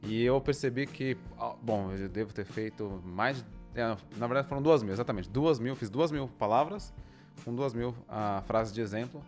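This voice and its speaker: Young Brazilian man, Brazilian Portuguese accent, mid-range voice, reflective and halting as he explains; co-host in a casual chat. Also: medium pitch, darkish tone, soft background music. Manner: thoughtful, explains at length with many pauses and restarts. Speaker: co-host, language learner.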